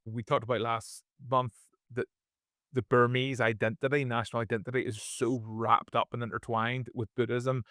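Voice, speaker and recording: A man's voice: medium pitch, dry recording, studio quality. A man with a Northern Irish accent co-hosting a casual podcast, explaining topics with frequent small restarts. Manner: explanatory, repeats words when restarting. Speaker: podcast co-host.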